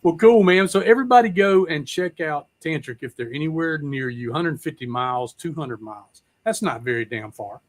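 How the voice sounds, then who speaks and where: A man's voice: fairly high pitch, expressive, normal speed, hardly any pauses. A man hosting a casual, rambling chat in a folksy, laid-back, profane way. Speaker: podcast host.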